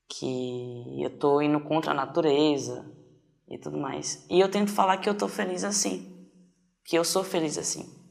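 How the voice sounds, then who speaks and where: A Brazilian man in his twenties giving casual personal testimony in an interview, halting as he searches for words. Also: on the high side, creaky. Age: 20s. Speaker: documentary interviewee.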